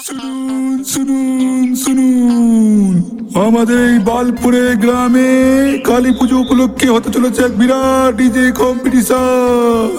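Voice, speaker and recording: Very high-pitched cartoon village crier. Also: male voice, little pitch movement, a little mellow, faint music behind. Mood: proclaiming. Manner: drawn-out, proclaiming calls. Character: loud, pompous village crier announcing a contest. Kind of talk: comic public announcement in a cartoon.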